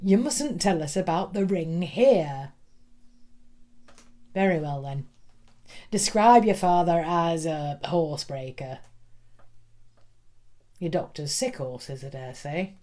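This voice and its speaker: A stern schoolmaster obsessed with facts, acted by a woman in a dramatic storybook reading. He hands down emphatic, commanding pronouncements at a heavy, slow pace. The manner is pompous and domineering. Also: low-pitched, lively intonation, mellow. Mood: brusque, insistent. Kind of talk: dramatic audiobook reading of a classic novel.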